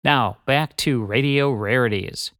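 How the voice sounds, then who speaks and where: A man's voice: medium pitch, a little slow. A man, a podcast co-host, delivering a scripted advertisement read.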